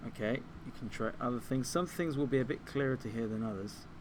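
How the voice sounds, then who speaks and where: A man giving an informal software tutorial, explaining things step by step like a teacher. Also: mid-range voice, quick pace, loud music behind the voice, poor audio. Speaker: music technology teacher.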